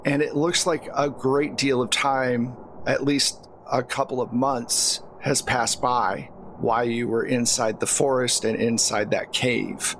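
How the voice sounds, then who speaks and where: Slow-paced man narrating a tabletop game. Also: medium pitch, level tone, a bit bright, a little hiss or hum, rough recording. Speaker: game master.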